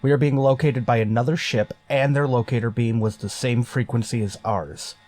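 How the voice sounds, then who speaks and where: Guy doing a wary spaceship captain, clipped and commanding, old sci-fi radio drama style, mid pitch. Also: steady tone, moderate pace, darkish tone, natural voice. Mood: grim, wary. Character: stern, wary male starship captain. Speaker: actor. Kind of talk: golden age sci-fi radio drama acting.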